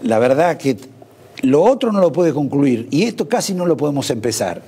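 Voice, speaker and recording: A man's voice: fairly high pitch, big pitch swings, normal speed, mellow, slightly rough. A middle-aged Argentine man hosting a TV interview, asking pointed questions and cutting in on his guest. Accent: Argentine Spanish. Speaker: TV host.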